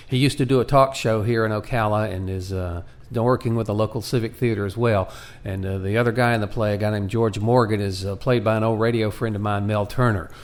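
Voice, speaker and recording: An American man working as a radio host and narrator, presenting and reading a scripted story in an echoey room. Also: fairly low pitch.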